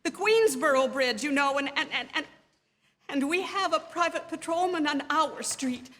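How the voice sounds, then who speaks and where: A woman's voice: sits high, moderate pace, pushed and bright. An actress playing a nervous, haughty bedridden wife in a radio suspense drama, agitated and stammering as she insists on being heard. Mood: anxious. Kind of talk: stage performance of classic radio suspense drama. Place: live theatre stage. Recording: long hall reverb from theatre stage.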